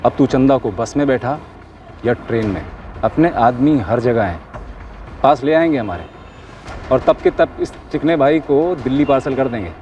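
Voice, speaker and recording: A man's voice: medium pitch, expressive, normal speed, far from the mic, muffled recording, slight background noise, lightly acted. A man playing a bullying gangster kidnapper who taunts and threatens in a TV crime drama. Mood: threatening. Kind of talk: Hindi TV crime drama acting.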